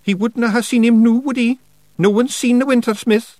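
A small fairy man explains things loudly in a broad Scottish accent, voiced by a man reading a storybook. He is pitched very high above the reader's own voice. The reading is dry and close. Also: fairly even intonation, brisk, no echo. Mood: explaining.

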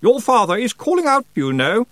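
A man's voice: sits high, sing-song, fairly quick, darkish tone, no echo, voice pushed up. A man voicing a peevish, bossy older aunt in an audiobook. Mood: angry, scolding. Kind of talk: audiobook character voice.

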